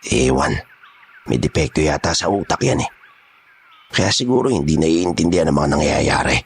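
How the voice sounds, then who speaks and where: A man playing a gruff, blunt kidnapper boss in a horror audio drama. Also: rumbling low, expressive, normal speed, full character voice. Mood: irritated. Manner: rough, street-tough, blunt. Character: gruff, menacing male kidnapper gang boss.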